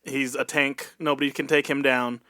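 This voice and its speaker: A man's voice: medium pitch, little pitch movement, moderate pace, bright, forceful tone, no room sound. A man on a podcast, musing casually about fantasy football, hesitant at the start of his points. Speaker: podcast guest.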